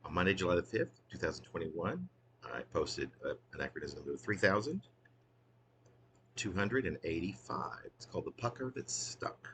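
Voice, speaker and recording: A man's voice: low-pitched, big pitch swings, moderate pace, a bit bright, a little hiss or hum. A man who is a poet reading his own wry, literary work in a theatrical, emphatic way, drawing out phrases.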